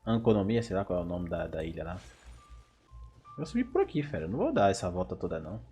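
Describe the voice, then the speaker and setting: A man's voice: deep voice, sing-song, racing, warm tone, faint music behind. A Brazilian man giving casual, playful Let's Play commentary with informal reactions. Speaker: gaming YouTuber.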